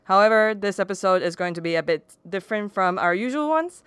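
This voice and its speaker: A woman's voice: mid-range voice, expressive, brisk, fairly bright tone, clean tone, no echo. A young woman hosting a podcast interview, chatty, using casual fillers and short agreements. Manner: chatty, uses "like" and short backchannels. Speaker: podcast host.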